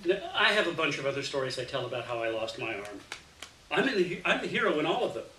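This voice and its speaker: A man reading a first-person short story aloud in an expressive, dramatic way. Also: a little high, fast talker. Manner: dramatic, animated delivery with acted dialogue. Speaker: reader of a short story to an audience. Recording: roomy, distant mic, faint noise.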